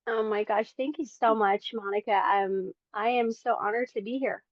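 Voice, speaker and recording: A woman's voice: mid-range voice, steady tone, normal speed, dry recording, dull audio. Earnest woman from the Philippines. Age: 40s. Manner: earnest, chatty, many fillers. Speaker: podcast guest. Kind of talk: casual faith testimony. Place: home in Las Vegas.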